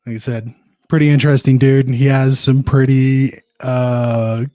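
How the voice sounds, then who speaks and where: A man's voice: medium pitch, flat delivery, moderate pace, mellow, no room sound. A man hosting a podcast solo, rambling casually and informally with plenty of swearing. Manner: profane, informal. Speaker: podcast host.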